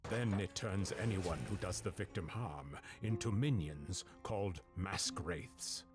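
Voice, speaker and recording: An actor playing a commanding master of monster hunters in a video game. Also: male voice, deep voice, a little slow. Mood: grave, explanatory. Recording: music behind the voice.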